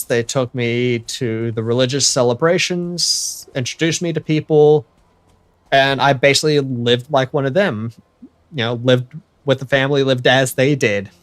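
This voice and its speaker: A man's voice: mid-range voice, no room sound. A young American man chatting casually to viewers while he livestreams a building game, rambling and correcting himself as he goes. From United States. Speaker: streamer.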